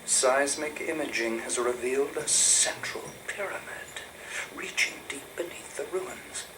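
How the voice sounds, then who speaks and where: Man playing a hushed, noise-backed research scientist. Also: mid-range voice, measured pace, lightly acted. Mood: quiet awe. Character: earnest, awed researcher logging alien ruins. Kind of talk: scripted video game audio log.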